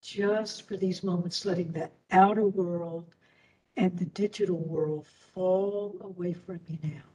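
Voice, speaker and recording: A woman teacher leading a guided meditation in a soothing, deliberate way, leaving long pauses. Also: fairly low pitch, steady tone, mellow. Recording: distant microphone.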